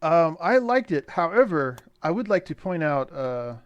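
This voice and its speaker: A man with a slightly raised pitch co-hosts a casual review podcast. He talks in a jokey way, slipping between mock-formal announcer phrasing and loose, sweary conversation. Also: sing-song, normal speed, lo-fi sound. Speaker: podcast co-host. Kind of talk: casual comedic review chat.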